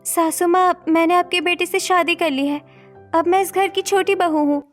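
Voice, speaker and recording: A woman voicing a sweet, mischievous teenage girl in an animated family melodrama, girlish and pleading. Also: extremely high pitch, normal speed, faint music behind. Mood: earnest, bold.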